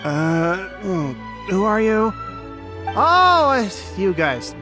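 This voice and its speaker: A man's voice: sits high, sing-song, drawn-out words, music in the background, exaggerated voice. An actor playing a tipsy, nervous man in a video game, speaking in a hesitant, jittery way. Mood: groggy, rueful. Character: tipsy, nervous man who fears powerful enemies.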